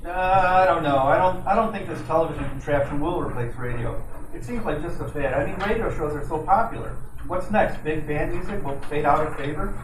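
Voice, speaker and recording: A man's voice: fairly high pitch, brisk, natural voice. Man acting a cocky, flirty detective, noisy echoey recording. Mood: smooth, flattering. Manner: smooth-talking, wisecracking, slangy. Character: flirty, cocky detective posing as an innocent train passenger. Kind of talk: old-time radio detective drama acting. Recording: roomy, noisy, distant amateur mic.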